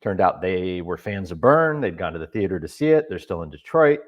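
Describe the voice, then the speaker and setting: Man, filmmaker guest, very low voice, scratchy throat, relaxed storytelling. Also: a little fast, lo-fi sound. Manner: relaxed storytelling, some fillers. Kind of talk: interview answers.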